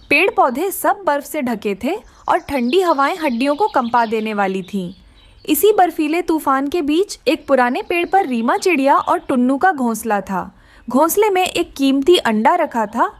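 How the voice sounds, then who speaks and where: A woman narrating a scripted children's story. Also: sits high.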